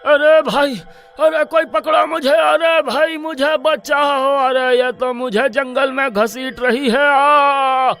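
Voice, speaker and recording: A man acts a frightened villager in a horror comedy tale. The voice is extremely high and slow, whining and panicky. Faint music runs underneath. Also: steady tone, pushed and bright, heavily acted. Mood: agonised panic. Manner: panicky, wailing, drawn-out exclamations.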